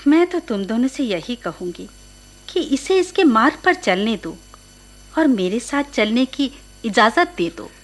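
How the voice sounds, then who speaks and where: High-voiced, authoritative holy woman. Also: sing-song, a little slow. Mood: earnest, persuasive. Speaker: radio actor. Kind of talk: radio drama dialogue.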